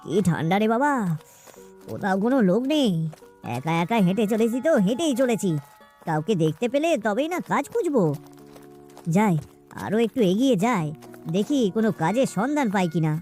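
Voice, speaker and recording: A lazy, poor village husband from a cartoon tale, played by a woman. The voice is high and swings about in whining grumbles. Light music sits behind it. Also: very animated, fairly quick, long pauses, darkish tone. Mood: grumbling. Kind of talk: cartoon voice acting.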